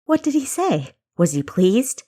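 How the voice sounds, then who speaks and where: A woman's voice: a little high, sing-song, unhurried, mellow, pitched above the performer's own voice. Woman playing eager, cheeky young female spy. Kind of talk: audiobook character dialogue.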